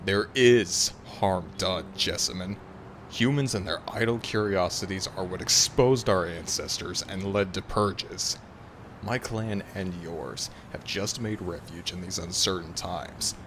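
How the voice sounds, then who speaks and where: A man's voice: low voice, unhurried, fairly bright tone, a little hiss or hum. A man playing a proud, coldly arrogant male alpha werewolf in an audio drama, with a formal, haughty, threatening manner. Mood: indignant. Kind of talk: dramatic audio roleplay acting.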